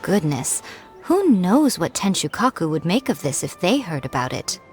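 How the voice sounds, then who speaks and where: A woman's voice: fairly low pitch, big pitch swings, normal speed. A woman playing a sly, elegant shrine priestess in a video game, coy, teasing and sure of herself. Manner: coy, teasing, self-assured. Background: music.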